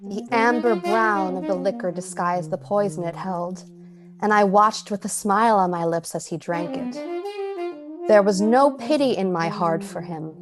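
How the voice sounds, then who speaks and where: A woman playing a cold, predatory poisoner who narrates an old-time radio drama in a measured, dramatic way. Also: medium pitch, over music, lightly acted. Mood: cold, gloating. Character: cold, predatory, calculating poisoner telling the tale.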